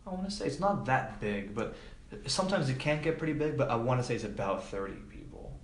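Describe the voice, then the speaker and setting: A man's voice: medium pitch, expressive, fairly quick. A man speaking as an interview guest in a casual, informal, hesitant way, with lots of filler words. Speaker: podcast guest, film production assistant. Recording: echoey room, background noise.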